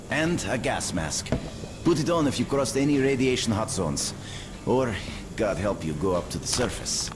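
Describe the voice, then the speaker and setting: A man playing a friendly, brisk underground survivor in a video game, matter-of-fact and folksy in his talk. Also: medium pitch, noisy background. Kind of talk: video game character dialogue.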